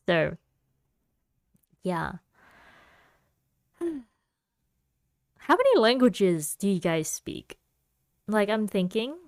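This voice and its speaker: A woman's voice: on the low side, darkish tone, clear, resonant tone, no echo, clean studio sound. A young woman hosting a casual solo chat. She rambles with many fillers and giggles often. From United States. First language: Vietnamese. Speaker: podcast host.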